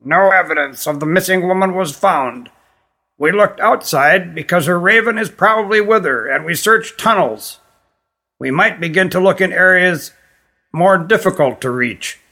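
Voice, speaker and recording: A man's voice: high voice, bright, forceful tone, voice pushed up, exaggerated voice. Man voicing a stern, reedy old fantasy queen. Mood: formal, grave. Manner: formal, deliberate phrasing. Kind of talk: fantasy audiobook character voice.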